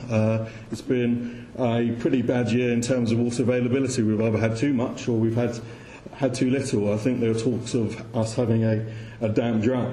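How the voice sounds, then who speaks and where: A man's voice: mid-range voice, warm tone. A man giving an informal presentation to an audience, speaking somewhat hesitantly and repeating words. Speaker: conference speaker. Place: indoor room with audience. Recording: echoey room with faint background noise.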